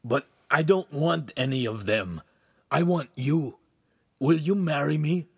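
A man voicing a jealous, boastful young suitor in a story, loud and indignant, spitting out his phrases. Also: medium pitch, a little slow, slightly rough, no room sound, clean studio sound, voice pushed up. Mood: pleading.

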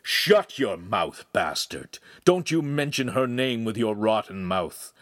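A man voicing an enraged middle-aged master craftsman who shouts scornfully at his apprentice. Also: lowish voice, big pitch swings, slow, dry recording, studio quality, full character voice.

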